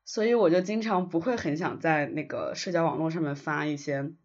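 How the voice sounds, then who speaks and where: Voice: mid-range voice, a little fast. A podcast co-host talking in a casual, reflective way. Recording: slightly dull, lo-fi podcast recording.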